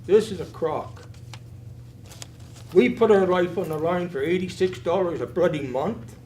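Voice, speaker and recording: Older man, veteran, blunt, indignant, high-pitched, echoey hall. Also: measured pace, a little mellow, a little hiss or hum. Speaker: audience member and veteran. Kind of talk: public question at a forum. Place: community hall.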